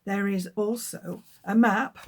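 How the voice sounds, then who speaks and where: A woman, a children's author, reading her book aloud with lively, expressive storytelling. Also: medium pitch, takes it slowly, breathy edge.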